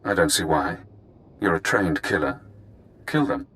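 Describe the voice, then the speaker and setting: A man voicing a cunning, analytical former spy in a video game, speaking in measured, clipped, clinical phrases. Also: low voice, very animated, fairly bright tone, slight background noise, heavily acted. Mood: cool, calculating. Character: cunning imprisoned former spy, cool, analytical, morbidly admiring.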